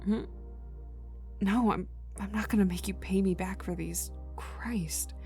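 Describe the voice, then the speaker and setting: A woman voicing a cocky, teasing, gruffly protective young villain enforcer in an intimate ASMR roleplay, with a casual drawl that drops into whispers. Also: mid-range voice, fairly even intonation, unhurried, darkish tone, close to an everyday voice. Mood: wry, caring. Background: ambient music.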